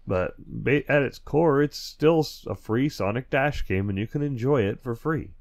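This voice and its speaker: A man's voice: medium pitch, animated, normal speed, creaky. A man hosting his own podcast in a casual, rambling way, talking through a lingering cold with a dry, stuffy throat. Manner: rambling and self-correcting, with chuckles. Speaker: podcast host. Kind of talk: casual solo podcast chat.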